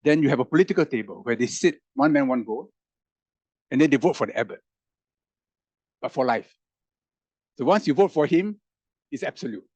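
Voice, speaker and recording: Animated man musing aloud with a lively pitch. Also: normal speed, long pauses, husky, no echo, lo-fi sound. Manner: wondering, rhetorical questions, emphatic rises. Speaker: roundtable panelist. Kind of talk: animated reflective public talk.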